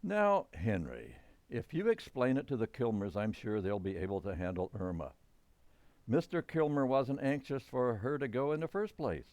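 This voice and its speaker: A man's voice: mid-range voice, sing-song, moderate pace, darkish tone, no room sound, natural voice. A man playing a reasonable but exasperated middle-aged father in an old-time radio comedy. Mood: calming, reassuring.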